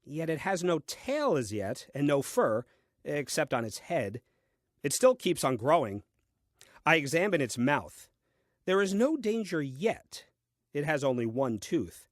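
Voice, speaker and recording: Casting: man to play puzzled, literal-minded first husband in a comic audio play; lively swooping delivery, dry pedantry, exasperated temper. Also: on the high side, dry recording. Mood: puzzled, pedantic. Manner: deliberate, theatrical, dry and pedantic.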